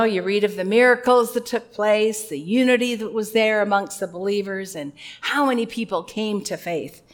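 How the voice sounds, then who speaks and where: A woman with a loud voice preaching an earnest, conversational sermon with a few personal asides. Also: mid-range voice.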